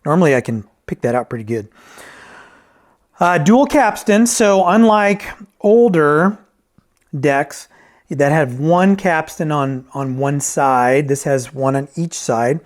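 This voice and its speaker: Higher-voiced man giving a casual gear review. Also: slow pace. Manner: rambling, self-correcting, with frequent "right?" check-ins. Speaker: reviewer and repairer of vintage tape decks. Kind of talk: casual unscripted product review.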